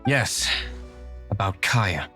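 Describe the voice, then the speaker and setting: A low-voiced, composed young gentleman, aloof and reserved. He speaks formally and in measured phrases as a video game character, with music playing clearly behind him. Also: expressive, full character voice. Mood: cool, calculating. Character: aloof, composed young nobleman and winery owner.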